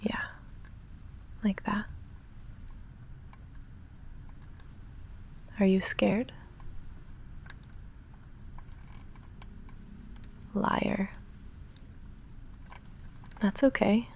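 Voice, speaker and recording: A woman playing a lovestruck, restrained goth vampire roommate in an intimate ASMR roleplay, speaking in halting, breathy near-whispers with dry, deadpan asides. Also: medium pitch, heavily acted. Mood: nervous, restrained. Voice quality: breathy, often whispering, creaky.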